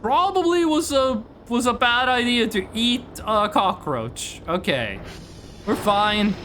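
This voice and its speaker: A man's voice: very high-pitched, lively intonation, slow, bright, forceful tone, slight background noise. A young man from northern Norway, chatting casually on a live stream while gaming, rambling and restarting his sentences. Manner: rambling, restarts sentences, reads chat aloud.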